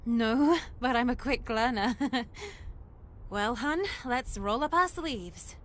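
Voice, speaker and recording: An actress playing a rebellious, impulsive runaway teenage maid in a video game, with a cheeky, eager manner. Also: female voice, on the high side, slow pace, fairly bright tone. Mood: excited, playful. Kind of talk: video game cutscene acting.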